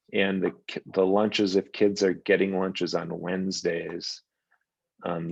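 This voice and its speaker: A man giving a school principal's report at a parents' meeting, relaxed and rambling with fillers. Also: deep voice, gravelly, slightly rough, no room sound, dull audio. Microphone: video call.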